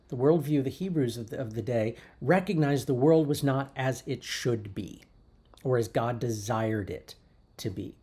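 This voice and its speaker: Mellow, husky-voiced man preaching. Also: medium pitch. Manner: thoughtful, unhurried preaching with frequent restarts. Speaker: pastor. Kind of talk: sermon. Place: church sanctuary.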